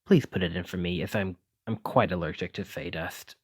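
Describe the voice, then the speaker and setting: A man's voice: sits low, normal speed, husky, no room sound, studio quality. A man voicing a clumsy, kindly wizard in an ASMR roleplay, courteous and apologetic, stammering when flustered. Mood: gentle, delighted. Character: clumsy, kindly wizard bookseller teaching magic. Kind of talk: ASMR roleplay addressed to listener.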